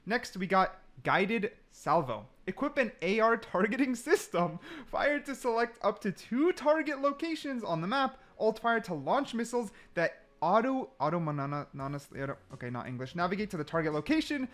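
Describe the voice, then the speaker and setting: Animated, higher-pitched male gaming host. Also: normal speed, hardly any pauses. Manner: animated, repeats phrases for emphasis. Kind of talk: casual gameplay commentary.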